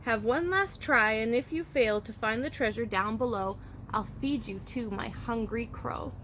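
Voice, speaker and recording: A woman's voice: on the high side, unhurried, close to an everyday voice. Storybook villain: commanding wizard lizard, threatening and bossy, read by a woman, noisy recording. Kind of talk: children's storybook read-aloud.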